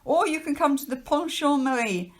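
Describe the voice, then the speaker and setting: A woman's voice: sits high, expressive, normal speed, pitched above the performer's own voice. A woman reading a storybook, voicing a stern, sinister man who gives commanding, clipped, threatening orders. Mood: stern, demanding. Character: stern, sinister adult man, officious hunter of a runaway.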